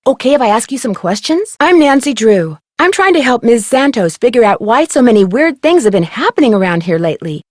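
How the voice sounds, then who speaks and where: A young female amateur sleuth for a detective video game. Her voice sits on the high side and sounds very dry, free of room sound. She is courteous and conversational, yet persistent and inquisitive. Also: sing-song, fairly quick, hardly any pauses, no room sound. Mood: friendly, inquisitive. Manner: polite, inquisitive, clearly enunciated questioning. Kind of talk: video game dialogue, conversational question delivery.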